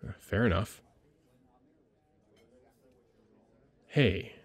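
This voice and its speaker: A man acts out a military medic lieutenant from a sci-fi audio drama, deep-voiced with a gravelly edge. He talks in a casual, teasing, slightly hesitant way, caring underneath the gruffness. The sound is dry and intimate. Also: no room sound, heavily acted. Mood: admiring. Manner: informal, hesitant, teasing, conversational. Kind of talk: intimate ASMR audio roleplay acting.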